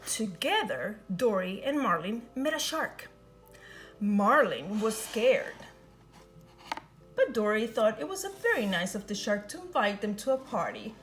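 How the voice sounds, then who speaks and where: A woman reading a children's picture book aloud in an expressive, sing-song storytelling manner. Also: medium pitch, measured pace, soft background music. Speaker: storybook reader.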